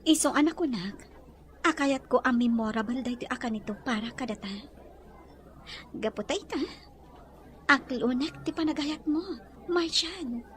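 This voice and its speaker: A woman's voice: sits high, moderate pace, pauses often, slight background noise, lightly acted. A woman playing a well-off young madam in a romantic Ilocano audio drama. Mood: tender.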